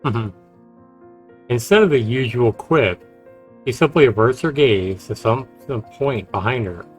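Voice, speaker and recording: A man's voice: medium pitch, measured pace. A man streaming a game, reading story text aloud and adding casual commentary. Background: game music.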